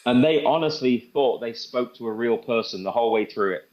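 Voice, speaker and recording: A man giving an informal product demo and sales pitch, conversational and repeating phrases for emphasis. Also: fairly quick. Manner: conversational, repeats phrases for emphasis, acts out sample phone calls. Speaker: presenter demonstrating software to a group. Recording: dull, band-limited sound.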